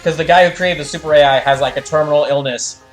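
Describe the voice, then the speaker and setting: A man with a fairly high-pitched voice talks as a game streamer. He explains lore in a casual, rambling and enthusiastic way. Soft music plays behind his voice. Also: fairly even intonation, fast. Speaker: streamer doing live fan dubs of a video game. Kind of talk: casual livestream commentary.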